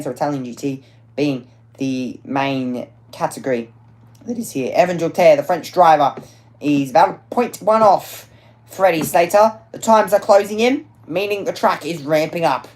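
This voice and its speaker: A woman with a notably low, deep voice calls a motor race qualifying session live. Her delivery is chatty and loose, filled with ums, and she corrects herself on the fly as she goes. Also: a little mellow. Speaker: motorsport commentator.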